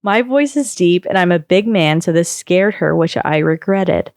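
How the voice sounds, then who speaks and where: Female podcast reader, low and smooth, steady measured storytelling delivery. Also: no echo, clean studio sound. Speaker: podcast co-host reading a story. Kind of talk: reading a first-person online post aloud.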